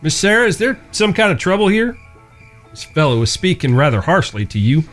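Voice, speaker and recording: An actor playing a chivalrous, steely blind cowboy with an in an audio drama. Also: male voice, a little high, very animated, fairly bright tone, faint music behind. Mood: firm, polite. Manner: courteous, measured, earnest. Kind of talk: Western audio drama acting.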